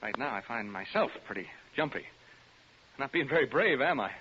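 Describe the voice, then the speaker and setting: A man's voice: mid-range voice, animated, moderate pace, lightly acted. An actor playing a jittery, skeptical radio DJ alone in a haunted house, stammering and chatting nervously to his listeners in 1940s radio style. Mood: jumpy. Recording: noisy, dull 1940s radio recording.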